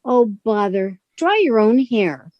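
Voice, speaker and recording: A woman's voice: fairly high pitch, expressive, slow, dry recording, lo-fi sound. A woman playing an affectionate, curious, easily vexed young newlywed wife in a radio suspense play. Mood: puzzled, uneasy.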